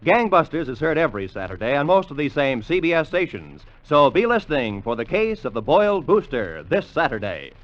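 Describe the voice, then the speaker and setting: An American male announcer with a fairly high, animated voice delivers a playful, wry 1950s radio promo. The vintage recording sounds dull. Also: brisk, hardly any pauses.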